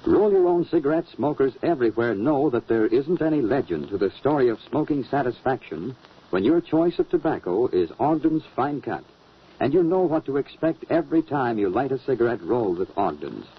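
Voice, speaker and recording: A man with a raspy voice announcing in polished, emphatic, dramatic 1940s radio style. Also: mid-range voice, sing-song, fairly quick. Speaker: announcer, narrator. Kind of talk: 1940s radio announcing, scripted narration and sponsor pitch. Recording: muffled old radio recording.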